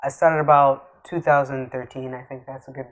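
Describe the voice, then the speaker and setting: A man's voice: medium pitch, fairly even intonation, moderate pace, a bit bright. A man from Atlanta introducing himself loosely and hesitantly. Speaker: panel guest.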